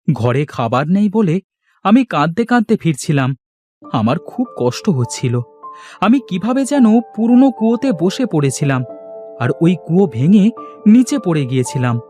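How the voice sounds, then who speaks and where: A man plays a poor but honest village father in a fairy tale cartoon. He speaks slowly and earnestly, with very lively swings of pitch. Music runs underneath. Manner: earnest, expressive.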